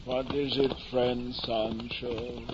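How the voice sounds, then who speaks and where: A man's voice: medium pitch, flat delivery, slow, lightly acted. A muffled, slightly noisy 1950s radio drama recording of a man playing an ageing, deluded knight-errant. He declaims in lofty archaic phrases, pompous and solemn, as if every word were a proclamation of chivalry. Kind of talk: 1950 old time radio drama acting.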